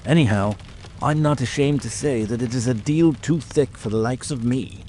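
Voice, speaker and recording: A man's voice: mid-range voice, moderate pace, dark tone, a little hiss or hum, lightly acted. A man voicing a plain-spoken, puzzled country police sergeant in an audiobook drama, blunt and folksy. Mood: resigned.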